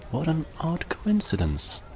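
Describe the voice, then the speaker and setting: An actor playing an upright, suspicious Victorian gentleman in a stage drama, formal and firm in manner. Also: on the high side, wildly swooping pitch, unhurried, gravelly, slight background noise, heavily acted. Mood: curious. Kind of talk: theatrical stage drama acting.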